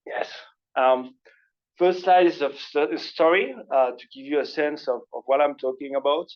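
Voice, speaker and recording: A man's voice: on the high side, moderate pace. Belgian-French man giving an academic talk. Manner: relaxed conversational lecturing, frequent hesitations. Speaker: guest speaker. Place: university seminar room. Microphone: passed microphone. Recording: dull lo-fi lecture recording.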